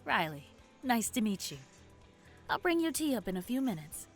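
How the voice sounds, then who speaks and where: A woman playing a brisk, friendly mother who works a café counter in an audio drama. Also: a little high, sing-song, soft background music. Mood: welcoming. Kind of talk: naturalistic audio drama dialogue.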